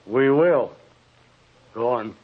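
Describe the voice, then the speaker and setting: A man's voice: mid-range voice, expressive, extremely slow, husky, heavily acted. An actor playing a curt, skeptical policeman in a 1940s radio drama, questioning in a clipped, commanding way. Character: curt, skeptical police officer interrogating a suspect. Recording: muffled vintage radio recording.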